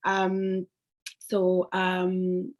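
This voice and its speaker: A woman's voice: lowish voice, completely flat delivery, drawn-out words. A young woman whose first language is French, speaking English on an online alumni panel, giving direct career advice with some slips and restarts.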